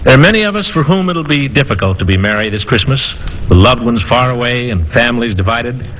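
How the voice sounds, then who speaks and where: A man's voice: mid-range voice, lively intonation, brisk, fairly bright tone. Earnest man in a vintage radio address. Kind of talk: sincere radio holiday address to listeners. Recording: faintly noisy 1940s radio broadcast.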